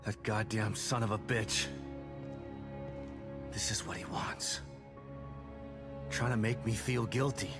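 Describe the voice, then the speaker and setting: Low, brooding survivor, music behind. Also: male voice, fairly even intonation, slow, pauses often. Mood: angry resolve. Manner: brooding, terse, often dropping to a breathy murmur. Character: grim, guilt-ridden middle-aged male survivor hunting his enemy. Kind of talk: cinematic video game acting. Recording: music under the dialogue.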